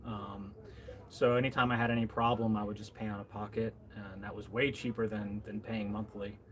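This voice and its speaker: A man's voice: lowish voice, flat delivery, moderate pace, slight background noise. An American man hosting a casual livestream chat, rambling with lots of ums and restarts. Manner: rambling, many fillers and restarts. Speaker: livestream host.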